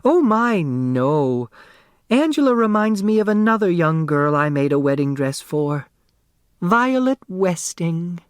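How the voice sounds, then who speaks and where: A man's voice: high voice, very animated, slow, smooth, no echo, clean studio sound, pitched above the performer's own voice, exaggerated voice. A man voicing a small, timid older woman, a chatty dressmaker eager to please, in an audiobook. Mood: wistful.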